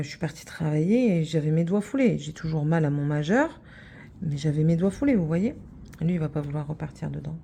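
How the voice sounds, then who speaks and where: A woman's voice: sits low, warm tone. A middle-aged woman from France, hosting a craft channel and chatting casually, rambling with many fillers and restarts.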